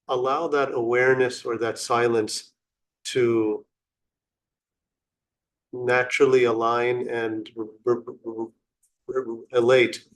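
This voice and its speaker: A man leading a guided meditation in an unhurried, contemplative and instructional way, with long pauses between short phrases. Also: medium pitch, poor audio.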